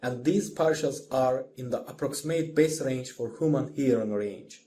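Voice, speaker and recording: A man who is a non-native English speaker narrates a scripted music lesson as the instructor, in a deliberate, explanatory manner. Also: mid-range voice, mellow. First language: not English.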